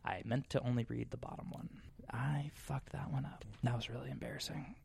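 A man's voice: mid-range voice, steady tone, a little fast, creaky, a little mellow, a little hiss or hum. A man hosting a casual podcast, playfully teasing his guest while reading beer reviews aloud. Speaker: podcast host.

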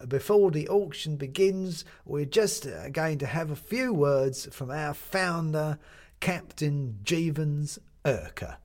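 A hesitant master of ceremonies who halts and stumbles over his announcement, as a male narrator does him. His delivery is slow and full of fillers. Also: a little high, darkish tone, pitched above the performer's own voice. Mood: announcing.

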